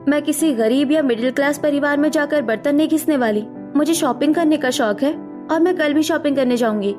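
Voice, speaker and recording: A woman acts out a spoiled, haughty young cartoon rich girl with a high, shrill-edged voice. She is brash and demanding and drops English words into her talk. Faint music sits underneath. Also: brisk, lightly acted. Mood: defiant. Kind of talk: animated cartoon story acting.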